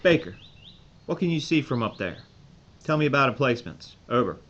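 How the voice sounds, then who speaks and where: A terse, commanding American army major in a war audio drama, played by a man. He has a mid-pitched voice and an American accent, with faint noise on the recording. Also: big pitch swings, fairly quick, fairly bright tone, muffled recording. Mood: firm. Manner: authoritative, terse.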